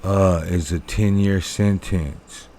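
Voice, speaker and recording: Laid-back male reaction host, unhurried drawl, mellow tone, casual cursing. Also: low voice, steady tone, a little hiss or hum. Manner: laid-back, swears casually, thinks out loud.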